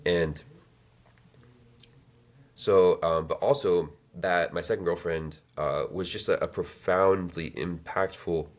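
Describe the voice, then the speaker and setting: A man in his thirties telling his life story as an interview guest, halting with many fillers and restarts. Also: low voice, fairly even intonation. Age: 30s. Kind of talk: reflective personal storytelling.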